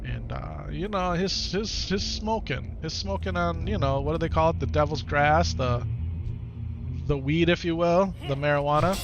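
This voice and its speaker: Midwest american guy streaming a game, bit higher voice, chatty, keeps talking to chat and repeating stuff. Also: normal speed, creaky, muffled recording, noisy background. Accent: Upper Midwest American. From Wisconsin, United States. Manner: chatty, talks to his viewers, repeats phrases. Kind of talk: casual live-stream chat over gameplay.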